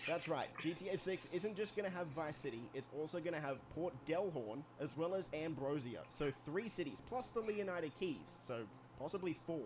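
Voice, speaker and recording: Slightly high-voiced man narrating a video breakdown. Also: expressive, a little fast, few pauses, background noise. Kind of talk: scripted explanatory commentary.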